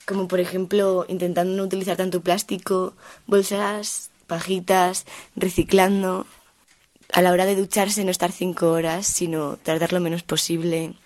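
Teenage girl, slightly low voice, casual activist talk. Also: little pitch movement, normal speed, darkish tone. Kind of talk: informal spoken opinion piece.

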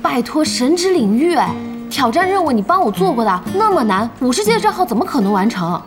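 A woman playing a lively, exasperated young gamer in an audio drama, expressive, emphatic and chatty. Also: high-pitched, brisk. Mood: explaining. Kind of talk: animated Chinese audio drama acting. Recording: music bed under the dialogue.

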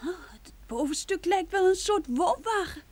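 A curious young girl in a radio drama. Her voice is extremely high and swoops excitedly, recorded close and dry. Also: normal speed, no echo. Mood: excited, amazed.

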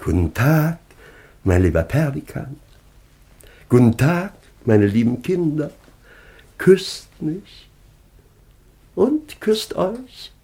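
A man's voice: on the high side, big pitch swings, slow pace, long pauses, mellow, breathy edge, heavily acted. An actor playing a pompous, fussy, easily piqued baron and father in a German radio comedy of manners. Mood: ceremonious. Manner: pompous, declamatory. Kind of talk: German radio play of a classic stage comedy.

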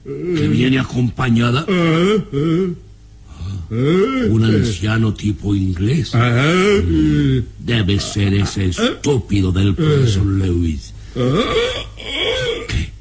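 An actor playing a sinister, irritable baron, imperious and contemptuous, in an old-time radio serial with music behind. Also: male voice, mid-range voice, big pitch swings, slow, pauses often, a little mellow. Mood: angry, demanding.

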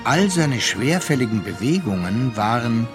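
A man narrating a literary radio drama with measured, deliberate phrasing and pauses. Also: medium pitch, big pitch swings, music in the background.

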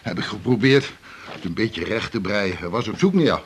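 A man's voice: lowish voice, animated, moderate pace, breathy edge. An actor playing a chatty, confiding male hospital doctor in a radio drama. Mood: gossipy. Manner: informal, gossipy, confiding. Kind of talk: 1980s Dutch radio drama acting.

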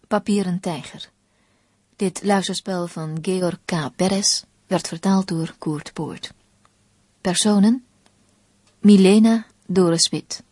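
A woman reads as the narrator of a radio play. Her voice is fairly low and husky, with a dry, close sound and a scripted, literary delivery. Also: slow pace, pauses often, a little mellow, no echo.

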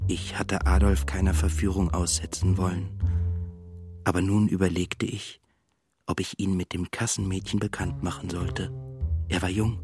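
Male actor as melancholy composer, deep, measured, reflective, first-person radio play narration, music bed. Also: level tone, natural voice. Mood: pensive. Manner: measured, introspective. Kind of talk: literary radio drama monologue. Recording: clear music bed behind the voice.

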